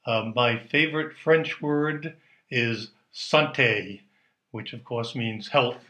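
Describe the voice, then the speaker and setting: Bright-voiced American man in an echoey room. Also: mid-range voice, extremely slow. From United States. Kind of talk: informal personal message.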